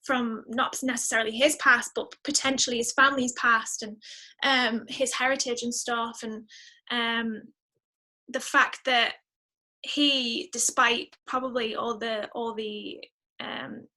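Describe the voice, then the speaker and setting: A woman's voice: on the high side, fairly even intonation, measured pace, pushed and bright. A woman musician chatting casually as an interview guest, effusive and emphatic, repeating words for emphasis. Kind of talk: casual podcast interview chat.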